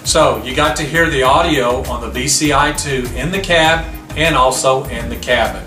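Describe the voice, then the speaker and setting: A man's voice: medium pitch, normal speed, pushed and bright, recorded from a distance. Man, product demonstrator, plainspoken explainer, off-mic in a roomy space, music bed behind. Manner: plainspoken, step-by-step explaining with rhetorical questions.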